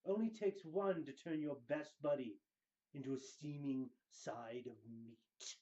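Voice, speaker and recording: Male narrator for theatrical fiction readings, slightly high-pitched yet soft-toned, emphatic and deliberate, on a muffled recording. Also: lively intonation. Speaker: reader of book excerpts. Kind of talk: dramatic scripted book reading.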